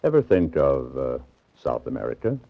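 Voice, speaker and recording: Gravelly, very deep radio crook. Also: male voice, wildly swooping pitch, full character voice. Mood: coaxing. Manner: unhurried, politely threatening. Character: suave, menacing crook with a hired thug. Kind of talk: 1940s radio drama acting. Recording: dull, band-limited 1940s radio recording.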